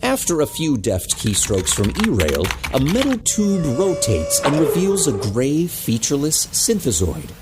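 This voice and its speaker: A man narrating a scripted audio drama in a measured, dramatic way. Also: a little high, wildly swooping pitch, very noisy.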